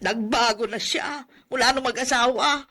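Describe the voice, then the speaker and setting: An actress playing a sulky, easily slighted elderly aunt in a radio drama, with a husky, sharp voice. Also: female voice, a little high, normal speed. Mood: hurt, sulking. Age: older. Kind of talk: Filipino radio drama acting.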